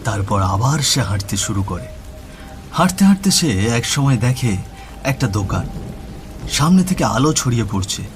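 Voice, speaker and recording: A man's voice: medium pitch, very animated, normal speed, pauses often. A man narrating a scripted horror story as the storyteller. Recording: music bed with rain and thunder.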